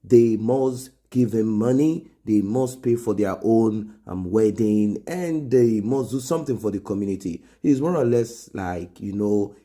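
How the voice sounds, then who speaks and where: A man's voice: lowish voice, warm tone. A man with a Nigerian accent hosting an informal gossip commentary, explaining conversationally and repeating phrases for emphasis. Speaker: gossip show host.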